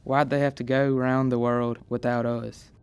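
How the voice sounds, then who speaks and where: Teenage guy playing Kip, anxious boy, flat delivery. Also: medium pitch, moderate pace, clear, resonant tone, lightly acted. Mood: wondering. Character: Kip, anxious young boy, spooked and wondering. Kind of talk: amateur radio play acting. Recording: music under the voice.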